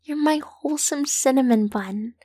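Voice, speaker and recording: A woman voicing a lovesick, possessive yandere kidnapper in an intimate roleplay audio, doting and coaxing in a breathy voice that often sinks into whispers. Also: high-pitched, mellow, dry recording, clean studio sound. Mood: tender, sheepish. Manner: doting, coaxing, slow and lingering.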